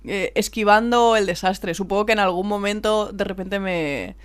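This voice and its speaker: A woman's voice: medium pitch, normal speed. A woman with an accent from Spain, chatting casually and playfully in slangy, informal speech as a podcast co-host. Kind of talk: casual chat.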